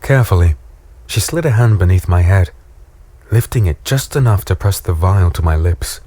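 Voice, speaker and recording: A man playing a battered, weary human in a dark fantasy audio drama, wry and fatalistic as he tells his story. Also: low voice, animated, moderate pace, slightly rough, dry recording. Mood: hushed recounting.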